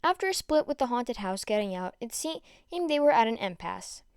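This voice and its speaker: A woman narrates fan fiction in audiobook style. Her voice is fairly high and crisp, recorded dry without room echo. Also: big pitch swings, moderate pace.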